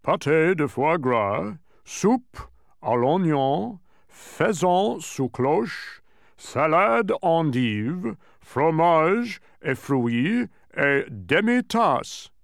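Pompous storybook monarch played by a man: imperious, irritable, deliberate, wide-ranging pitch. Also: lively intonation, no echo, clean studio sound. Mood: pompous, careful. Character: pompous, irritable king with grand commanding airs.

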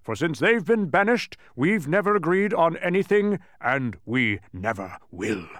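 A pompous, touchy wizard of numbers from a storybook, acted out by a man. His delivery is emphatic and declamatory, with a very animated melody. The sound is close and dry. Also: mid-range voice, moderate pace, clear, resonant tone, no room sound, lightly acted. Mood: thundering, stubborn. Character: pompous, touchy male mathematician wizard, thundering and stubborn.

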